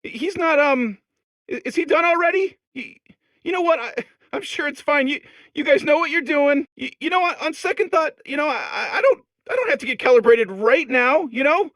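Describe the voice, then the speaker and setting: A man's voice: extremely high pitch, expressive, fairly quick, a bit bright, no room sound, heavily acted. A man voicing an anxious, timid robot core in a comedic video game, stammering and rambling with worry.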